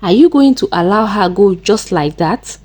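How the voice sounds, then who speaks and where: A woman's voice: mid-range voice, animated, normal speed, a little mellow, natural voice. A woman voicing a suspicious, insistent woman in a folktale, urging in a conspiratorial way.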